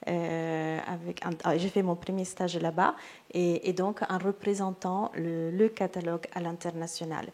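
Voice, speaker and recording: A woman's voice: fairly low pitch, flat delivery, moderate pace, darkish tone. A woman in her thirties with an Italian accent, a native Italian speaker answering on a round-table panel, hesitant, with many fillers and restarts. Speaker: literary agent for illustrators.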